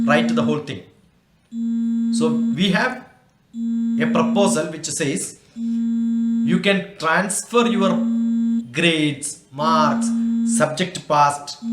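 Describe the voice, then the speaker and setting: A man's voice: on the high side, echoey room, low-quality recording. A man with an Indian accent explaining informally as an academic, teaching through everyday examples. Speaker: academic presenter and mathematician.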